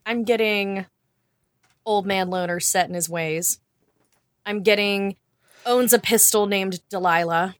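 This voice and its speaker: Woman chatting casually, halting, dry close sound. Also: mid-range voice, no room sound. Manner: halting, thinking aloud, colloquial. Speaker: podcast co-host. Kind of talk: casual unscripted podcast chat.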